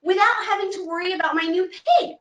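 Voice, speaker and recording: A woman doing a shrill pleading old woman. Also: fairly quick, a bit bright, reverberant, distant mic. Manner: high pleading singsong repetition. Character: fretful old woman begging her animals.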